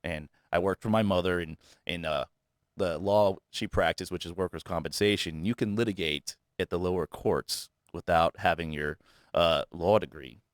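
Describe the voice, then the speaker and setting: Low-voiced man, halting and candid. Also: no echo, clean studio sound. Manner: halting, many restarts and repeated words; earnest and self-critical. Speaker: guest, realtor. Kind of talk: candid interview talk.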